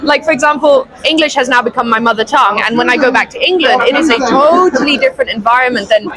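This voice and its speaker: A woman's voice: high-pitched, fast talker, low-quality recording. A young woman answering a street interview, chatty and laughing, often correcting herself. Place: outdoors on a busy city street. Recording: crowd noise outdoors. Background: crowd chatter.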